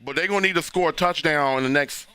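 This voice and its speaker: A man, hoarse and losing his voice, hosting a casual live football reaction stream and talking loosely with frequent restarts. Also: fairly high pitch, fast, sharp tone, no room sound. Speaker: livestream host reacting to a football game.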